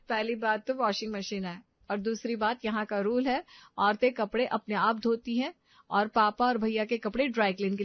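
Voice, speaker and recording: A woman's voice: a little high, no echo, lo-fi sound, lightly acted. A woman playing a kind young sister-in-law in a family story, reassuring and informal.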